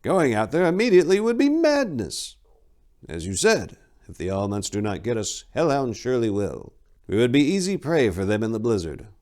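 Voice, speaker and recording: A man voicing an ancient, wizened male abbot who speaks in a measured, solemn, questioning way for a fantasy story. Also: medium pitch, big pitch swings, a little mellow, voice pushed up, lightly acted. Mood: calm, welcoming. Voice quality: wizened, aged voice.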